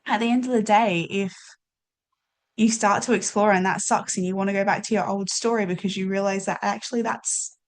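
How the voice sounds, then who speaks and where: A woman's voice: mid-range voice, fairly even intonation, a little fast. A woman coach hosting a podcast, speaking encouragingly and talking straight to viewers. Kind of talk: warm reflective casual chat.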